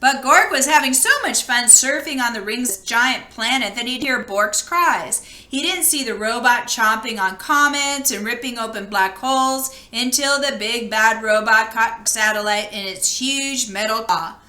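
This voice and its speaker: A woman reading a children's storybook aloud in an expressive narrating style. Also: a little high, normal speed, few pauses, echoey room. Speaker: storybook reader.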